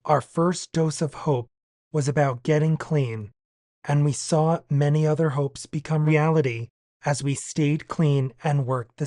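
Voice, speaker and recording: A man's voice: on the high side, a little mellow, no room sound, studio quality. A man reading a daily reflection aloud for a podcast. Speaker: podcast guest reader.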